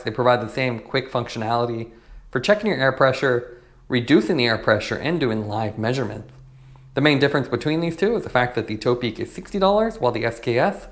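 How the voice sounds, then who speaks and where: A man giving a casual, plain-spoken product review, explaining and demonstrating features in a matter-of-fact way. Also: medium pitch.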